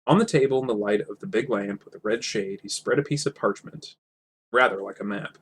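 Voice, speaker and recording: A man with a husky voice reading a storybook aloud in an informal, self-correcting way. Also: on the low side, brisk, a little hiss or hum. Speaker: amateur audiobook reader. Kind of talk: storybook reading with character voices and casual asides.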